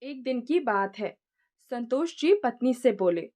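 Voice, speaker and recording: A woman's voice: fairly high pitch, lively intonation, unhurried, warm tone. A woman storyteller narrating a scripted moral tale.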